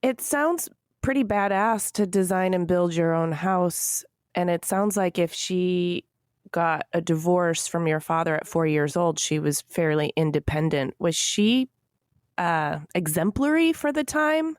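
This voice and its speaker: A woman's voice: lowish voice, a little slow, dry recording, clean studio sound. A woman hosting an interview podcast, asking curious, upbeat questions of a guest. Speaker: podcast host.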